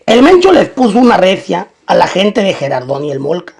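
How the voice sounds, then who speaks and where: A male narrator tells a scripted crime story in documentary style. His voice sits high for a man and has a strong gravelly fry running through it. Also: wildly swooping pitch, brisk.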